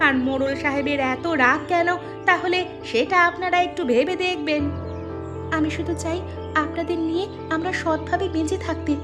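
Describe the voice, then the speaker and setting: A woman's voice: extremely high pitch, steady tone, moderate pace, over music. A woman voicing an earnest, honest and forgiving village daughter-in-law in a folk-tale cartoon. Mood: earnest appeal. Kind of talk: dramatic Bengali folk-tale cartoon dialogue.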